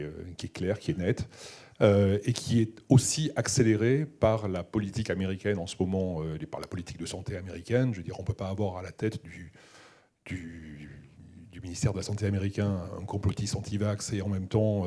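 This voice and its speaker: A man's voice: sits low, warm tone, husky. A man speaking off the cuff on a conference panel, emphatic and restating his points as he builds an argument. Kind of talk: unscripted conference discussion.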